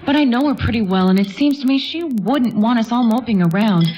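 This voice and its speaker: A woman's voice: a little high, fairly quick, dark tone, lightly acted. A woman playing a kindly, sensible grandmother in a 1940s-style radio play.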